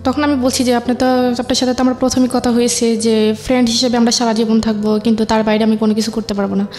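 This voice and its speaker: A woman's voice: fairly high pitch, flat delivery, fast talker, a little mellow. A woman, a guest on a show, telling a personal story and recounting conversations as she goes. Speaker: interview guest. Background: background music.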